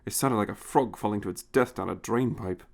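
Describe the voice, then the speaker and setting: A man's voice: lowish voice, fairly quick, gravelly. A man reading a scripted science fiction story as its narrator.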